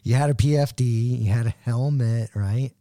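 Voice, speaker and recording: A man's voice: medium pitch, normal speed, warm tone, no echo. A man with an American accent hosting a casual interview in a laid-back, slangy, enthusiastic way. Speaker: interviewer, host.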